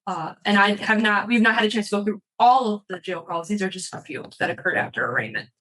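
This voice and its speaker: A woman argues as a prosecutor in a courtroom hearing. Her voice sits at an average pitch for a woman. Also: expressive, fast talker, a bit bright, rough recording. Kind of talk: courtroom argument.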